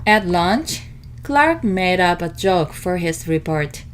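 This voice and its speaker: Slow, animated woman reading a children's story. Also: lowish voice, a little hiss or hum. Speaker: storybook reader. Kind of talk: expressive read-aloud narration for children.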